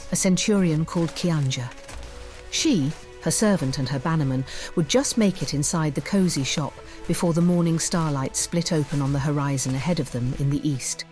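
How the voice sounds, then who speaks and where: Female audiobook narrator for fantasy, measured, descriptive delivery with a faint music bed. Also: sits low, darkish tone.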